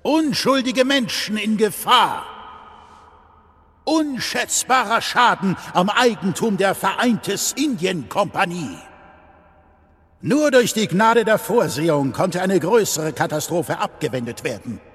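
An actor playing a stern, authoritarian Lord Chancellor with formal, accusatory delivery in an audio drama. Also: male voice, high-pitched, sing-song, slow pace, fairly bright tone, husky, exaggerated voice. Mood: cold accusation. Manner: formal, accusatory, imperious declamation. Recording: long hall reverb.

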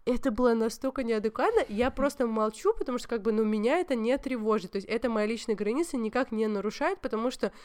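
A young woman with a smooth, clear voice, sitting a little high in her range. She tells stories in a lively, conversational way, pausing often on small fillers as she talks. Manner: animated storytelling, many fillers. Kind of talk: casual chat.

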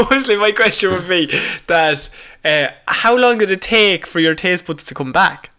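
A man's voice: sits high, animated, a little slow, bright, forceful tone, clear, resonant tone. An Irish man co-hosting a comedy podcast, swearing and teasing as he banters and reads out odd news. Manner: sweary, prone to laughing, teasing. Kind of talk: casual comic banter and reading out odd news.

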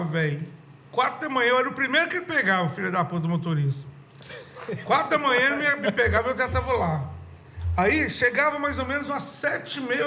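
A man, a podcast guest telling stories in a casual, animated way, laughing often and using many fillers. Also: extremely high pitch. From Brazil. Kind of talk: casual chat, storytelling.

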